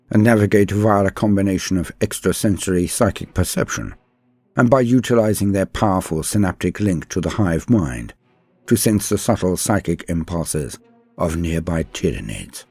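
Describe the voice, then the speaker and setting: A man with a low, husky voice delivers scripted lore narration in a dramatic, formal style. His pitch moves widely as he goes, with ornate phrasing throughout. Music plays behind him. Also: lively intonation, moderate pace, darkish tone, dry recording. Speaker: host and narrator of a guide channel.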